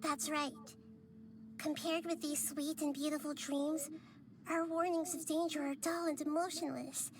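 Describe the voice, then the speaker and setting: A woman's voice: high-pitched, measured pace, crowd noise. Tiny wise girl goddess. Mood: earnest. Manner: calm, thoughtful, earnest. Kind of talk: game voice acting. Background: game music.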